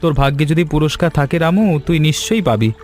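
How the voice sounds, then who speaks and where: A man playing a poor, honest, kind young villager in an animated children's story, gentle and conciliatory in manner. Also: on the high side, quick pace, faint music behind, lightly acted. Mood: calm, resigned.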